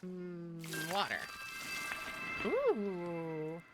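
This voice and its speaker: A woman streaming a video game with casual, excitable commentary and expressive reactions. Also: on the low side, very slow. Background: music behind the voice.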